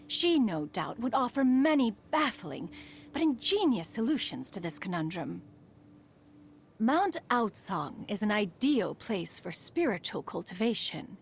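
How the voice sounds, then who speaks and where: A woman's voice: medium pitch, very animated, unhurried, a little hiss or hum. A woman voicing a proud, refined, aloof ancient immortal in a video game, speaking formally and loftily. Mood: wistful. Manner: formal, haughty, calls herself "one". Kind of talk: scripted video game character voice lines. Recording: music behind the voice.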